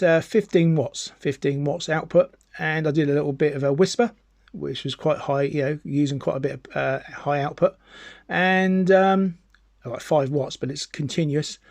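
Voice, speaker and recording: A man with a somewhat higher-pitched voice presents a casual hobby gear review. He explains things in a chatty way, often restating a point to make it clear. The sound is dry, with very little room echo. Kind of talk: casual product demonstration and explanation.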